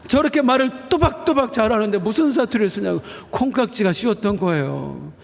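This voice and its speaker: High-voiced pastor preaching in an echoing hall. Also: male voice, animated, vocal fry. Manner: earnest preaching, slowing down for emphasis and rising on key phrases. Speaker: senior pastor. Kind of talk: Sunday sermon. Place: church sanctuary. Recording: reverberant church hall.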